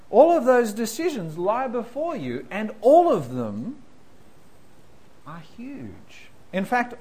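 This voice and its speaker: A man's voice: high-pitched, slight background noise. A man preaching a sermon, telling funny stories about himself before turning serious. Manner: self-deprecating storyteller, animated, laughing through anecdotes. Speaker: pastor.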